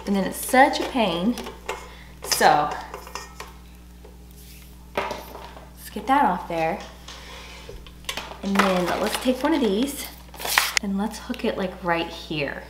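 A woman in her forties with a slightly hoarse voice, chatting enthusiastically to viewers as she reviews home products. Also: mid-range voice, animated, takes it slowly, pauses often, noisy background. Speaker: home decor vlogger. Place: small furnished rooms at home, indoors. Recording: roomy echo.

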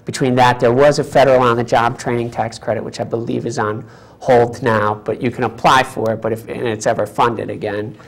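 A man's voice: mid-range voice, flat delivery, a little fast, creaky, poor audio. A middle-aged man speaking as a guest representative in a studio panel discussion. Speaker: state representative, interview guest. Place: small TV studio, round table. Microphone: lapel mic.

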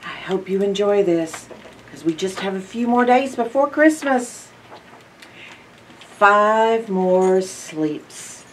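An older woman reading a picture book aloud to young children in an expressive, drawn-out storytime manner. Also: medium pitch, far from the mic, a little hiss or hum. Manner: expressive, drawn-out phrases with hushed asides and questions to listeners. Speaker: library storytime reader. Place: indoor library in front of bookshelves.

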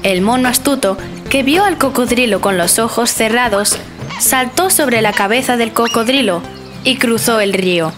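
A woman storyteller reading a children's cartoon tale. Her voice sits slightly high and stays gentle and clear. Cheerful music plays beneath her. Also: moderate pace. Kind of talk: scripted bedtime-story narration for children. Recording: narration over music.

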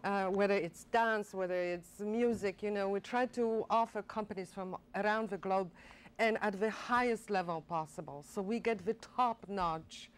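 An older woman from Poland, speaking as a guest in a TV studio interview, enthusiastic and animated with frequent fillers and restarts. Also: medium pitch, normal speed. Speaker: director of a college performing arts center.

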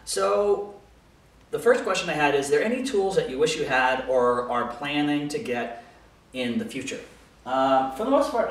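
American guy doing a casual q&a from his workshop, really echoey, mic a bit far off. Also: fairly high pitch. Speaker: woodworker hosting a shop Q&A video. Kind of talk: casual explanatory talk to camera. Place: small home workshop, indoors. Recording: very echoey room, distant microphone.

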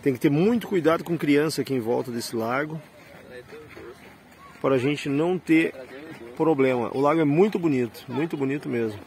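A man's voice: a little high, moderate pace, darkish tone. Chatty man vlogging. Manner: chatty, pointing things out to viewers. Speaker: rideshare driver and travel vlogger. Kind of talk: casual walking-tour vlog.

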